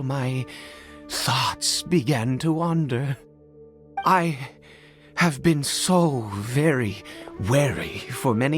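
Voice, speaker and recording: A man voicing a weary, anxious, self-doubting ageing father in a fantasy video game, speaking hesitantly with stammers. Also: mid-range voice. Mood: weary, melancholy. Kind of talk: dramatic video game voice acting. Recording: background music under the voice.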